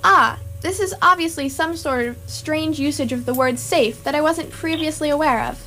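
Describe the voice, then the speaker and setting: A woman's voice: sits high, normal speed, pushed and bright. A woman playing a bewildered, drily sarcastic human space traveler in an amateur radio comedy. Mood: bewildered, wry. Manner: plaintive, dry, polite incredulity. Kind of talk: amateur radio play acting. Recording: clear background noise.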